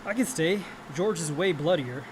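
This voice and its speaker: An actor playing a frank, hot-headed young younger brother in a naturalistic radio drama, casual and profane. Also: male voice, fairly high pitch, expressive, moderate pace, background noise, natural voice. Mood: calming, contrite.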